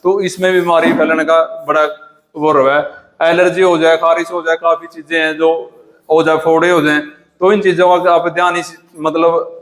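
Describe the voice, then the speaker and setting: Haryanvi male interviewer, slightly high, echoing sound. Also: little pitch movement, normal speed, fairly bright tone. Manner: colloquial, asks questions and summarises answers. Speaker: interviewer for a farming video channel. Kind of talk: casual on-site farm interview.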